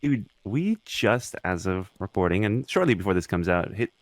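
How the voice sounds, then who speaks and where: A man's voice: sits low, sing-song, moderate pace, no echo. A man chatting casually and with slang as a podcast co-host.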